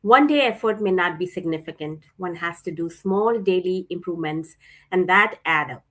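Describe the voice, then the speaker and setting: A woman's voice: lowish voice, normal speed, fairly bright tone. A woman from India giving a casual talk, a little hesitant with fillers. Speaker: guest speaker.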